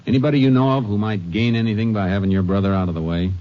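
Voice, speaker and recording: An actor playing a calm, methodical Texas Ranger who questions and narrates tersely in old radio drama style. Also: male voice, fairly low pitch, racing, close to an everyday voice. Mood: probing. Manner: terse, probing, matter-of-fact. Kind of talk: 1940s-50s radio drama acting and narration. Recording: muffled old-time radio recording.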